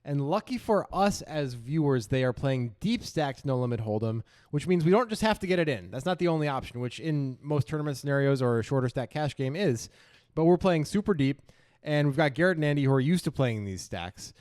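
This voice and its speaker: A man's voice: on the high side, expressive, a little fast, a little mellow. A man giving conversational, analytical commentary as a co-host, reasoning through questions and answers. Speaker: poker podcast co-host analysing hands.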